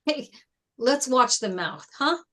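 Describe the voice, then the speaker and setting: A hot-headed high school jock , played by a woman with a high voice and lively, swooping intonation. He is blunt and combative, as in a drama table read. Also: slow pace, exaggerated voice. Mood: stern, annoyed. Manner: blunt, confrontational. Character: indignant, combative teenage boy jock wrestler.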